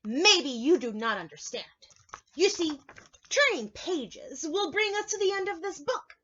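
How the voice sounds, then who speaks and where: A woman voicing a fretful, furry blue male monster, pleading in a high, drawn-out voice, on a dull lo-fi recording. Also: sing-song, bright, forceful tone, slight background noise, full character voice.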